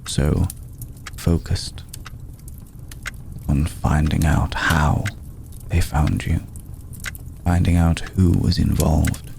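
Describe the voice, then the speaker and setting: A man voicing an ancient, courtly, protective vampire lord in a hushed ASMR roleplay, speaking formally and with deliberate pauses. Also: very deep voice, fairly even intonation, creaky, a little hiss or hum, exaggerated voice. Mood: solemn, apologetic. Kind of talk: ASMR roleplay, slow intimate scripted monologue.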